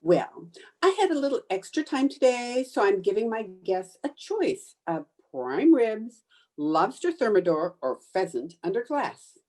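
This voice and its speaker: A woman playing an excitable, scheming housewife in an old-time radio sitcom, with a theatrical, gushing manner. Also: mid-range voice, measured pace. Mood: smug. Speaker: amateur radio player.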